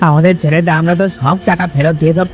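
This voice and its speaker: A man's voice: fairly high pitch, steady tone, rapid-fire, voice pushed up, natural voice. Man playing a stuttering, cowardly crook. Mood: begging. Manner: stuttering, nervous, evasive. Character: cowardly guilty male villain, scared of a ghost. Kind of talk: cartoon ghost story acting. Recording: dull lo-fi sound, music behind.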